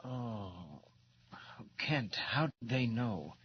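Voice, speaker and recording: Fearful scientist, very slow and faltering, swooping pitch, for a vintage radio serial. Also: male voice, animated, dull audio. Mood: dazed, anxious. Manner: faltering, dazed delivery. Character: shaken male scientist, dazed, dependent and fearful. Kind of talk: 1940s radio acting. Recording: noisy, band-limited old radio recording.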